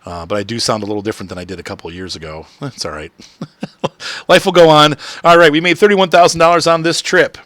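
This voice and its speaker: Chatty man with a bright, slightly rough voice. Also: fairly high pitch, quick pace, dry recording. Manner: rambling, chatty, with frequent fillers and soft laughs. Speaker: gaming channel host. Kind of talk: casual live-stream gameplay commentary.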